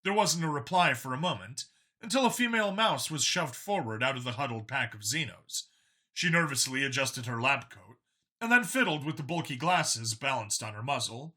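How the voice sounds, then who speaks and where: A man's voice: mid-range voice, big pitch swings, moderate pace, sharp tone. A man reading scripted fiction as an audiobook narrator, in a dramatic storytelling style.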